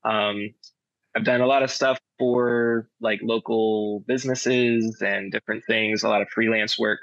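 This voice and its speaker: A man's voice: on the low side, steady tone, measured pace, a bit bright, no echo. A man chatting casually in an interview as a director, modest and understated, on a dull lo-fi recording. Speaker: audio drama director and sound designer. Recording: dry, dull lo-fi sound.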